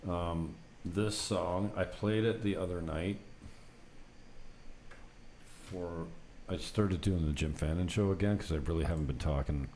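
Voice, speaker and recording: A man's voice: low-pitched, measured pace, long pauses, darkish tone. A man hosting a casual, unscripted podcast from an apartment, rambling through anecdotes in an unfiltered way with some mild swearing. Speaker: talk show host. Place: apartment set up for recording. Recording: noticeable background noise.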